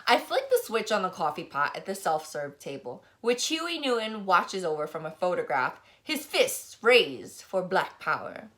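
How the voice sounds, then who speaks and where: A woman reading a first-person novel aloud as scripted audiobook narration, with expressive delivery. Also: lowish voice, moderate pace, few pauses. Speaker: audiobook reader.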